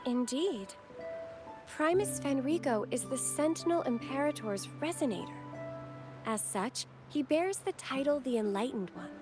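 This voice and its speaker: A woman's voice: high voice, expressive, measured pace. A woman playing a courteous, helpful young temple acolyte in a video game, explaining things to visitors. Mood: earnest, helpful. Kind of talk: scripted video game dialogue. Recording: game music behind the voice.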